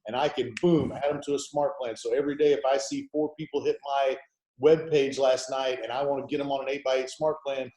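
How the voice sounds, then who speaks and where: A man's voice: mid-range voice, level tone, brisk, mellow. A man, hosting a real estate team video meeting in an upbeat, chatty, folksy way. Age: 40s. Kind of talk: casual software walkthrough on a video call. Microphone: video call. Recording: echoey room over a video call.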